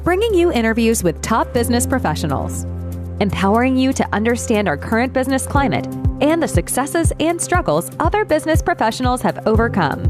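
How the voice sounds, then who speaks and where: High, lively woman announcing an intro. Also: a little fast. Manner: upbeat, polished announcing. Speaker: show announcer. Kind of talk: scripted podcast intro. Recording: lo-fi with music under it.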